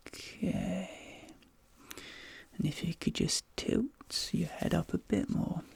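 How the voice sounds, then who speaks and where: A breathy, close-up whisper with heavy crackle in it, speaking extremely slowly. The speaker plays a gentle, reassuring dentist for ASMR, offering soft short phrases in a very dry recording. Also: mid-range voice, dry recording. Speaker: ASMR performer playing a dentist. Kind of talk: ASMR role play, whispered personal attention. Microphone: close ASMR microphone.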